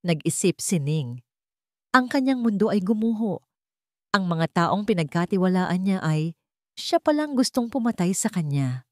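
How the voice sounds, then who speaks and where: A woman's voice: on the low side, big pitch swings, normal speed, no echo, studio quality. A woman with a Filipino accent reading a scripted, dramatic story as its narrator.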